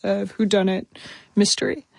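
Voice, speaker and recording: A woman hosting a radio interview, asking thoughtful questions in a measured, conversational way. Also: medium pitch, little pitch movement, gravelly, no room sound.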